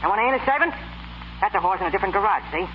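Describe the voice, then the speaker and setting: A muffled, hissy old 1930s radio recording carries a brash New York fight manager. His voice is high and swings widely as he talks tough, awkward street slang in screwball comedy style. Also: expressive, rapid-fire. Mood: earnest, fumbling.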